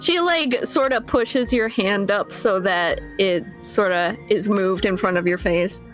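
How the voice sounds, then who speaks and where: A woman's voice: mid-range voice, measured pace, soft background music. A woman playing a tabletop game, casually improvising speech and actions for a character.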